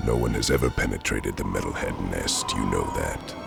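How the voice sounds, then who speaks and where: A man voicing a menacing, scheming older tyrant in a video game, with a deep, gravelly voice. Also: exaggerated voice. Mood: cold, calculating. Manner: slow menace swelling into commanding shouts. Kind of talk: video game villain acting. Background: loud dramatic music.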